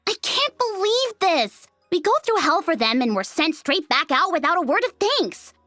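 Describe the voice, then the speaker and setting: High, sassy, grumbling young soldier. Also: female voice, big pitch swings, normal speed, sharp tone, no room sound, studio quality, faint music behind, exaggerated voice. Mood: indignant, sarcastic. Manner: sassy, dramatic, complaining. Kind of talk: English video game voice acting.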